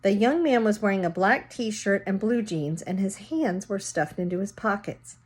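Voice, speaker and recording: Woman, read-aloud storyteller, narrative delivery, casual explanatory asides, medium pitch. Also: normal speed, slightly rough. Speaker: reader reading a children's novel aloud.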